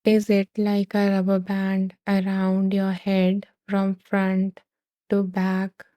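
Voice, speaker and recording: Brief: calm female meditation guide, unhurried, soft dark tone, dry recording. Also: medium pitch, no room sound. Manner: slow, soothing, gentle pauses.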